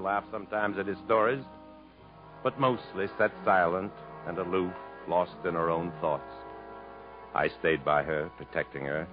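A man's voice: low voice, close to an everyday voice. An actor playing a guarded, protective jungle traveler who tells his own story in 1950s radio style. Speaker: narrator and lead actor in a radio drama. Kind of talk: 1950s American radio acting. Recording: dull vintage radio recording with music.

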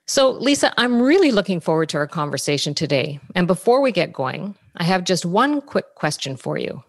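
A woman's voice: lowish voice, very animated, normal speed, no room sound, studio quality. A woman hosting a business podcast, reading a prepared introduction and statistics before questioning her guest. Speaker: podcast host.

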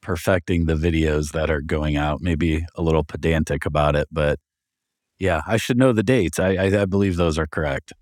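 A man co-hosting a podcast, speaking in a relaxed, self-deprecating way. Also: extremely low pitch, moderate pace, no echo, studio quality. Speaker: creative director, podcast co-host. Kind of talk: casual podcast chat.

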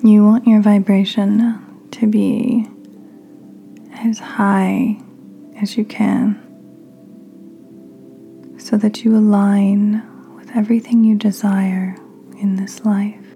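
A woman podcast host leading a guided meditation in a gentle, soothing, breathy near-whisper. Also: medium pitch, level tone, takes it slowly, long pauses. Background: soft music.

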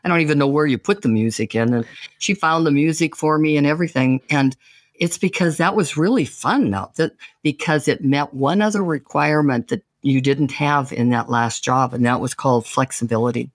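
A man hosts a casual interview, talking at length and sounding enthusiastic and encouraging. His voice sits a little higher than most men's. The sound is dry and close. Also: fairly quick, no echo. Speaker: podcast host.

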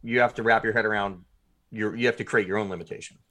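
A man's voice: lowish voice, fairly even intonation, fast, sharp tone. A man speaking as a podcast guest in a casual, jovial interview, often thinking aloud as he talks about his creative work. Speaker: podcast guest, audio drama writer and producer.

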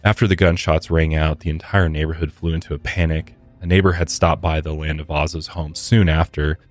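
Man, very low bass voice, true crime podcast host, conversational, measured, faint music behind. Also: little pitch movement.

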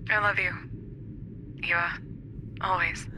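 Hurt wife on a noisy voicemail. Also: female voice, lowish voice, little pitch movement. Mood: resigned, tearful apology. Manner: halting, emotional, plain-spoken. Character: hurt, pleading estranged wife leaving a voicemail. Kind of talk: naturalistic science fiction audio drama. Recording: noisy recorded voicemail message.

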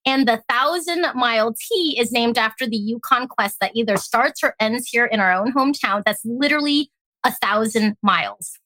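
A woman with an American accent, chatting casually as an interview guest and telling stories in an animated way. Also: high voice, no echo. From born in Hong Kong, grew up in Alaska. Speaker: guest, tea company founder.

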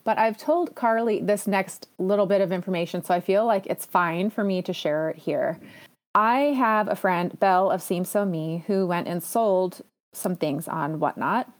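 A woman hosts her podcast alone in a casual, chatty way. She sounds eager and enthusiastic, and she often drops in little self-deprecating asides. Her voice sits at a middle pitch for a woman. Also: normal speed. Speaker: podcast host. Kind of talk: casual solo podcast talk.

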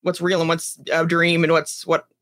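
A woman's voice: lowish voice, monotone, normal speed, fairly bright tone. A woman co-hosting a podcast, chatting casually about television in a conversational way. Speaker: podcast co-host. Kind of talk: casual review chat about TV.

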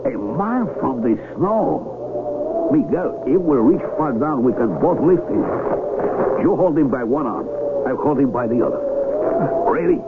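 An actor playing a bossy, suspicious doctor from an isolated village in a radio drama. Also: male voice, mid-range voice, big pitch swings, unhurried. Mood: amazed. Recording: tinny, very noisy old radio broadcast.

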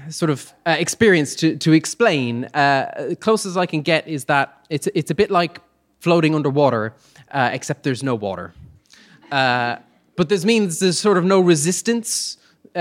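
A man telling a personal story live to an audience, in a hesitant, self-deprecating, humorous way. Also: fairly high pitch. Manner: hesitant, self-deprecating humour, chuckles as he talks. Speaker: storyteller on stage.

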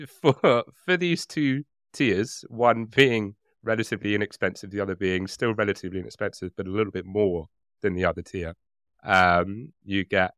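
A man's voice: on the low side, pushed and bright, clean tone, dry recording, studio quality. A man co-hosting a podcast, chatting casually in a hesitant, rambling, jokey way. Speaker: podcast co-host. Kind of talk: casual chat.

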